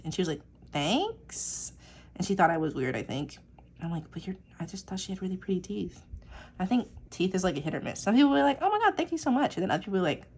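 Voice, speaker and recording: A young woman with a husky, gravelly voice rambles quickly through casual chat as she works on a drawing. Her pitch sits a bit low but swings widely. She tosses in fillers and the odd swear. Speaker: artist vlogger.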